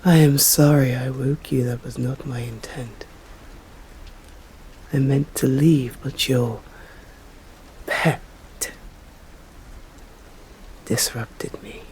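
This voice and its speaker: A woman with an English accent playing a stern, courteous female vampire in an ASMR roleplay, speaking formally and deliberately in a breathy voice that often drops to a whisper. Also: a bass voice, fairly even intonation, a little mellow, a little hiss or hum, poor audio. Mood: soft apology. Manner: slow, deliberate, formal, menacing.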